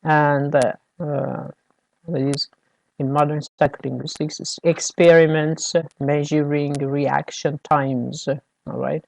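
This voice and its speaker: Slow, gravelly lecturer. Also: medium pitch, level tone, darkish tone, no echo, rough recording. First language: Persian. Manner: hesitant, many fillers, keeps checking "all right?" with students. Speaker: university lecturer. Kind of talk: classroom lecture.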